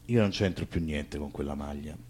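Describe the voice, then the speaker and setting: Guarded man, very low voice, noisy distant recording, stage drama. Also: moderate pace, a little mellow. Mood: guarded, resigned.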